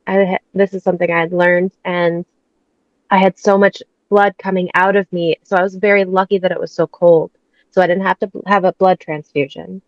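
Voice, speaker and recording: A woman's voice: fairly low pitch, level tone, a little fast, no echo. A woman in her thirties from the States, a guest telling her personal story candidly and chattily, laughing often. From United States. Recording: dull, dry sound.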